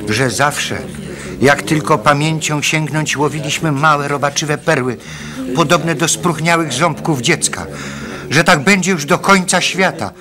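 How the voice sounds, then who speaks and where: High-pitched impassioned villager played by a man. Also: a little slow, a crowd in the background, lightly acted. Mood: bitter, impassioned. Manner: insistent, declamatory. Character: bitter impassioned male villager of a chorus. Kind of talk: radio drama acting, declamation.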